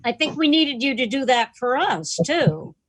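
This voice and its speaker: A woman's voice: sits high, big pitch swings, normal speed, breathy edge, no room sound. A woman hosting an online club meeting in casual group chat over a video call. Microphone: video call microphone.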